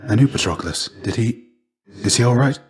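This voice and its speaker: A man voicing a weary, gentle fallen Greek warrior as a fatherly mentor in a video game, speaking in a measured, formal, old-fashioned and earnest way. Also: mid-range voice, animated, husky. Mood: sorrowful. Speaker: voice actor. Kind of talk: video game character dialogue, measured and theatrical.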